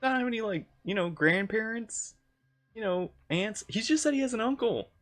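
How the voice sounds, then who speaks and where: A woman giving casual livestream reaction commentary on a game, halting, informal and giggly. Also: fairly high pitch, expressive. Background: game music.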